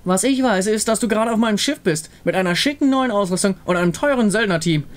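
A man's voice: high voice, animated, quick pace, pitched above the performer's own voice. A man voicing a bold, threatening pirate captain woman from a game story, taunting and commanding. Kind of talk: fan reading of a game visual novel.

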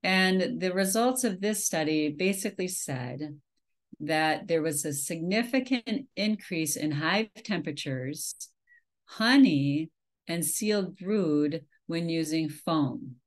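A woman from Minnesota walks through her slides on a video call, her voice a touch low for a woman. She talks in a relaxed, conversational way, full of "um"s and restarted phrases. The sound is plain webcam audio from a small room. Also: slow. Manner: conversational, many fillers and restarts. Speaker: beekeeping presenter.

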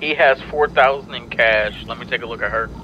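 A man's voice: medium pitch, a little fast. A man chatting casually in an online roleplay game, plain-spoken and directing the others. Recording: dull lo-fi headset audio, faint noise.

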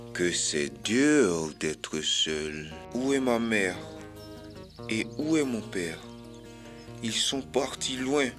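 A man's voice: lowish voice, fairly bright tone, exaggerated voice. An actor playing a lonely, mournful giraffe uncle in a children's cartoon, drawing out his sad lines over guitar music. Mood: sorrowful singing. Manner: slow, mournful, drawn-out. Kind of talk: French-dubbed children's cartoon, sung lament. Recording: music behind the voice.

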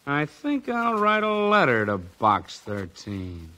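A man's voice: medium pitch, huge pitch swings, takes it slowly, sharp tone, faint music behind, exaggerated voice. A man playing an eager fellow musing over an adventure, in 1940s radio drama style. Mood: intrigued.

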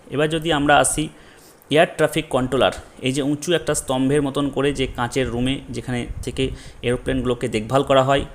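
A man presents an informal explainer lesson, addressing viewers directly and repeating key terms in a didactic way. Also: mid-range voice. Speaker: educational video host, explainer.

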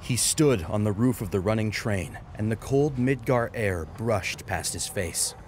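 A man's voice: fairly low pitch, measured pace, a little mellow, a little hiss or hum. A man narrating a scripted story as a dramatic audiobook reader. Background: music.

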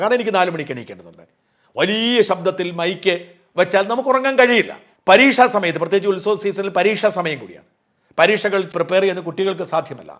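A man's voice: sits high, fairly bright tone. A middle-aged man from Kerala hosting an online news channel, giving emphatic, argumentative opinion commentary drawn from his own experience.

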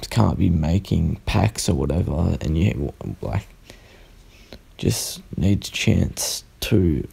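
A young man, sounding croaky and tired, rambling through a casual unboxing voice-over at home and correcting himself as he goes. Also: low-pitched, dark tone. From Australia. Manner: rambling, self-correcting, unhurried. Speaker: sticker artist vlogger.

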